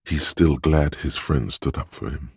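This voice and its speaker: A super low, really raspy inner voice from a video game, gentle n perceptive, hushed solemn delivery, dry close sound. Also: male voice, a little slow, no echo, heavily acted. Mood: wistful, compassionate. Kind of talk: scripted game narration, intimate inner monologue.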